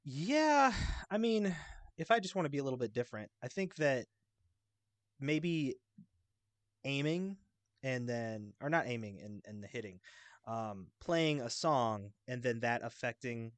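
A man's voice: medium pitch, expressive, measured pace, no echo. Male panelist, middle register, dry sound, chatting casually and loosely, thinking aloud. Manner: rambling, informal. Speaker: podcast panelist. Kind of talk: casual chat.

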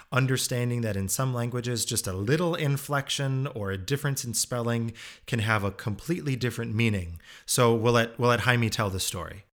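A man in a mid-range voice hosts the program, narrating a short lead-in to an interview clip. His delivery is clear and measured, as if read from a script. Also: mid-range voice, a bit bright. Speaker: host.

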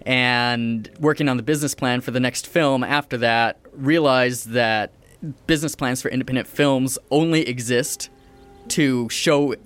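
An American man in his forties, talking as an indie film producer guest. He speaks at a middle pitch and in quick, energetic bursts, restarting his phrases as he goes. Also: smooth. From United States. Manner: quick, energetic storytelling with repeats. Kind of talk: casual interview chat.

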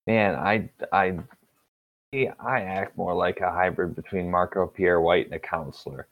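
A man's voice: low-pitched, unhurried, no room sound. A man in his twenties, a cook, chatting as a podcast guest in an easygoing, wry, rambling way. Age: 20s. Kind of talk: casual chat. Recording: dull lo-fi sound.

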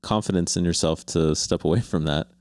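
A man hosting a conversational interview, putting his questions in a thoughtful, halting, rambling way. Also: sits low, fairly even intonation, a little mellow, smooth, no echo, studio quality. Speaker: interviewer, host. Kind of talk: conversational long-form interview.

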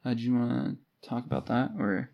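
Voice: mid-range voice, steady tone, a little fast, vocal fry, lo-fi sound. Casual podcast co-host retelling a story. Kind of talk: casual book discussion.

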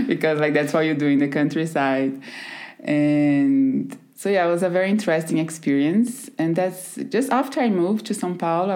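Brazilian woman, low voice, laughing storyteller. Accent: Brazilian Portuguese. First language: Portuguese. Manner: warm storytelling with frequent soft laughs. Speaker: podcast guest. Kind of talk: casual conversation.

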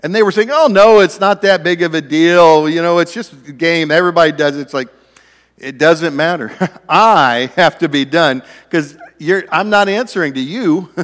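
A man with a loud, slightly high voice preaches in a relaxed, conversational way, poking fun at himself as he goes. His words ring out with a long echo, as in a large sanctuary. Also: normal speed. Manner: conversational preaching with self-deprecating humor. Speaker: pastor. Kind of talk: sermon.

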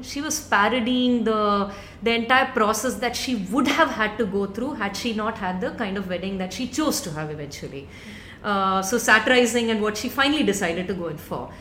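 A woman with an Indian English accent who grew up in South India, speaking reflectively with pauses and restarts as a spoken word performer in a live interview. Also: a little high, a bit bright. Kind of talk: conversational interview answers. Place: live event room. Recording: roomy live recording, faint noise.

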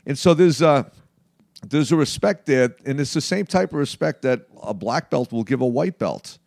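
A man in his late fifties speaking conversationally in an interview, in a halting, emphatic way with frequent check-ins. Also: mid-range voice, lively intonation, gravelly, darkish tone, no room sound, clean studio sound. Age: late 50s. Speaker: martial arts practitioner being interviewed.

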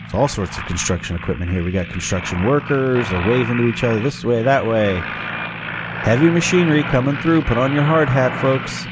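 A man hosting a casual movie watch-along, his voice scratchy and raspy from a cough, making sarcastic jokes as he watches. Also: mid-range voice, fairly quick. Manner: joking, sarcastic. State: has a cough. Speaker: host reviewing a movie.

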